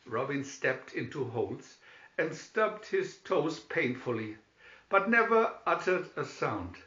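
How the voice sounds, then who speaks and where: Male storybook narrator: bright, theatrical, measured pace, slightly dull echoey recording. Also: on the high side.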